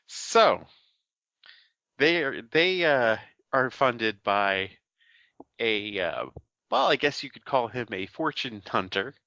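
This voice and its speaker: A man's voice: medium pitch, big pitch swings, slow, vocal fry, bright, forceful tone, dry recording, lo-fi sound. A man co-hosting a podcast, summarizing a film plot in casual chat, with many fillers and restarts. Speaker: podcast co-host.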